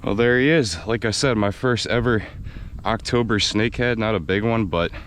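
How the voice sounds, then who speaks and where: A man with a low, slightly raspy voice talks casually from a kayak, excited and chatty. He has an American accent. Faint wind and water noise sit under him. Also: normal speed. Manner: excited, chatty, laughs often. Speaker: fishing video host. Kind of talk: casual on-the-water vlog narration. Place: outdoors on a kayak in a windy swamp.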